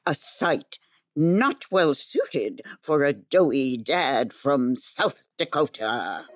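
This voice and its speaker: A woman plays a sardonic storyteller in a fantasy audio drama. Her voice is very low, slow and close, with a dry, theatrical delivery. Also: very animated, no echo, heavily acted. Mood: ominous storytelling. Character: sardonic, haughty female storyteller narrator. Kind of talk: scripted fantasy narration.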